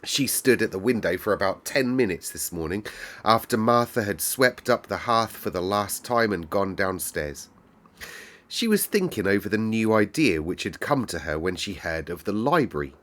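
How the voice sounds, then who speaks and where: Low-voiced man reading a storybook. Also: very animated, normal speed, a bit bright. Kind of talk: scripted storybook narration.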